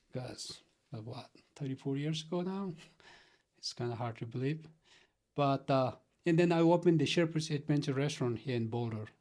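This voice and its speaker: A man's voice: a little high, darkish tone. A middle-aged man from Nepal, earnestly telling his story as a guest in a casual interview, chuckling often. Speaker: company founder guest.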